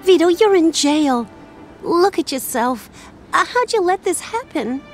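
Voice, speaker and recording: Young sister, high voice, kinda hesitant, faint music under. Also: female voice, lively intonation, measured pace. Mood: reproachful.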